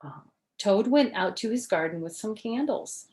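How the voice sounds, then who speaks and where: A woman's voice: medium pitch, expressive, normal speed, a little mellow. A woman reading a children's story aloud expressively, with chatty asides, questions and simple explanations for young listeners.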